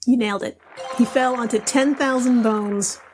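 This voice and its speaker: High-voiced woman hosting a playful quiz. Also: fairly even intonation, moderate pace, faint music behind. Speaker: podcast guest quizmaster. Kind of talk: comedic trivia quiz, reading questions with casual chat.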